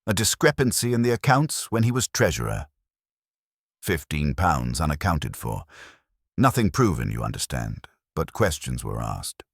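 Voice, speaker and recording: Man reading as a prim gossip, very deep-voiced. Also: sing-song, normal speed, gravelly, slightly rough, no room sound, studio quality, full character voice. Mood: prim, confiding. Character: prim, gossipy respectable townswoman witness.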